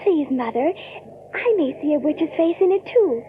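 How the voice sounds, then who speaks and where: A woman's voice: high voice, animated, moderate pace. A woman playing an impressionable little colonial girl in an audio drama, with a childlike, breathless manner. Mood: curious. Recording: tinny, band-limited recording, faint noise.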